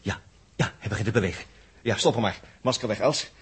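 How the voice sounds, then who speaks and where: Low-voiced jocular spaceship crewman. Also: a little fast, slightly rough. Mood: busy, encouraging. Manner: bantering, commanding. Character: gruff, jocular spaceship crewman, brisk and comradely. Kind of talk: 1960s radio drama acting. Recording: hissy 1960s radio recording.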